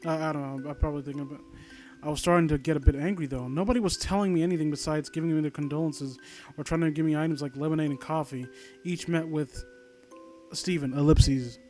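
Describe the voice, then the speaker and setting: A man's voice: on the high side, fairly even intonation, quick pace, mellow. A man reading a story aloud and breaking off into sarcastic, exasperated, profane commentary. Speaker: host reading and critiquing an online horror story. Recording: video game music playing behind. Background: glockenspiel-like video game music.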